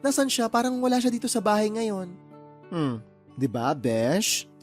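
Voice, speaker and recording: A meddling, flamboyant best friend for radio drama. The delivery is sassy and theatrical, with lively swings in pitch. Light music plays underneath. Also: male voice, unhurried. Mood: teasing. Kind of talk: Tagalog radio drama acting.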